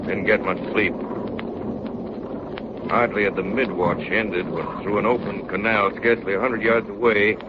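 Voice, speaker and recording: An actor playing a level-headed chief officer who narrates a polar voyage in a 1930s radio drama. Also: male voice, fairly low pitch, steady tone, normal speed, close to an everyday voice. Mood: grave, recounting. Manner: grave, vivid storytelling. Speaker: narrator of the story. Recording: noisy, dull 1938 radio recording.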